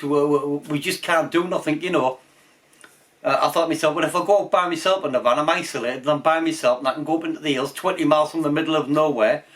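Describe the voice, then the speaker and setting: Male hobby vlogger, medium pitch, loose unscripted delivery that wanders and doubles back. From England. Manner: rambling, repeats himself. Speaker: amateur radio channel host. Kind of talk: casual unscripted vlog talk.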